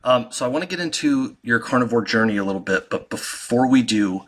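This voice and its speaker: An American man hosting a podcast, chatty and relaxed. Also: mid-range voice, a little fast. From United States. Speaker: podcast host. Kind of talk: casual podcast chat.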